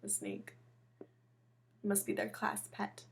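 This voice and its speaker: A woman teacher reading a picture book aloud, pausing to explain and comment on the pictures as she goes. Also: in a whisper. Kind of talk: storybook read-aloud with chatty asides.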